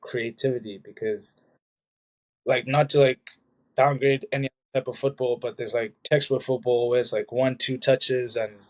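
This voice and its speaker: A young man from South Africa chats as a guest in a casual interview. He talks in a loose, easygoing way, leaning on small fillers as he goes. His voice sits at an ordinary middle pitch. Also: rough recording. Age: young adult. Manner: relaxed, loose, many "like" fillers. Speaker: college soccer player, guest.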